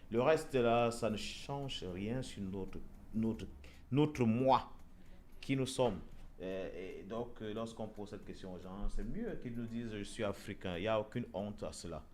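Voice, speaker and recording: A man's voice: on the low side, unhurried, darkish tone, slight background noise. A man hosting a casual talk show, speaking hesitantly with many repeated words and fillers. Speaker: show host. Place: Europe.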